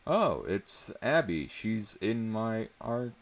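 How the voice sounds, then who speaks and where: A man's voice: on the low side, sing-song, takes it slowly, voice pushed up, exaggerated voice. A man voicing an awkward, self-doubting teenage schoolboy with a hesitant manner in a story reading. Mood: casual. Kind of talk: dramatic audiobook reading.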